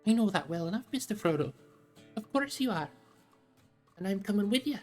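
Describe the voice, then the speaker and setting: A narrator acts out a loyal young male hobbit servant from a fantasy storybook. His voice is pitched well above the reader's own, rustic and anxious, pleading with great earnestness over background music. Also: expressive, brisk, a little mellow, lightly acted. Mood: eager, determined.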